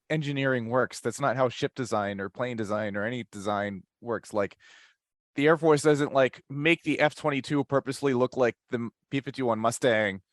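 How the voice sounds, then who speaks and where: A man of medium pitch speaks as a podcast panelist. His casual talk is wry and matter-of-fact, with an argumentative bent, captured without room sound. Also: no echo, studio quality. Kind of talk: casual fan discussion.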